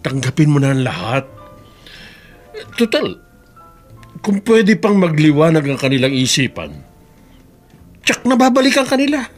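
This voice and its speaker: A man's voice: fairly high pitch, sing-song, unhurried, pauses often, faint music behind. A man playing a concerned, plain-spoken workmate and friend in a Filipino radio drama, with a Filipino accent. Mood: consoling.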